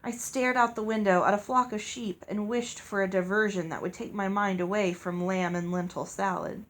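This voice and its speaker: A woman's voice: medium pitch, steady tone, normal speed, fairly bright tone. A woman reading a story aloud as narrator. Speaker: audiobook reader.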